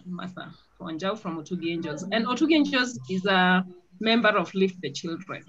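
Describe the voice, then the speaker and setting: A woman's voice: medium pitch, animated, normal speed, fairly bright tone. A woman with a Kenyan accent introducing her charity work earnestly as a founder on an online panel. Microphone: video call. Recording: slightly muffled internet video call.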